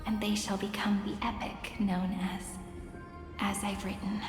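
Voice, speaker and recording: An actress playing a gentle, wistful young woman storyteller in a video game, speaking softly and lyrically. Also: medium pitch, level tone, natural voice. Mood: serene, resigned. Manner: slow, measured, lyrical. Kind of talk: dramatic video game voice acting. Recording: echoey hall reverb under loud music.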